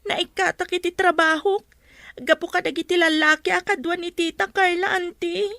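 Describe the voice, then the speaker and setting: Distressed young working girl, very high voice, bright tone, plaintive, radio drama. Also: fairly even intonation, normal speed, creaky, dry recording, studio quality, full character voice. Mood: distressed pleading. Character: distressed young woman, a fired waitress pleading for help. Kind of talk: Filipino radio drama acting.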